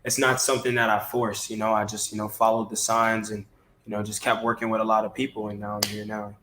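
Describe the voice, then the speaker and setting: A man's voice: on the low side, flat delivery, fast, fairly bright tone, a little hiss or hum, rough recording. A man from Maryland speaking casually as an interview guest, his talk peppered with "you know". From Maryland, DC area, United States. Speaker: interview guest, talent manager.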